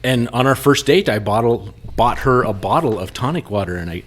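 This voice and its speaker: A man's voice: mid-range voice, expressive, fairly quick. Guy co-hosting a podcast, friendly, ribs his buddies, tells a pun. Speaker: podcast co-host. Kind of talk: casual chat.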